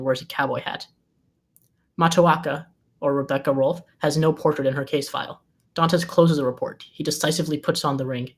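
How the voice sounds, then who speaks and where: Mid-pitched narrator, scripted delivery, stage directions, screenplay table read.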